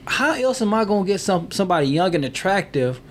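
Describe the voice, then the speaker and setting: An older man hosting a podcast, chatting casually and making relaxed, reflective comments. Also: sits high, fairly quick, a little hiss or hum. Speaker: podcast host. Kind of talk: casual chat.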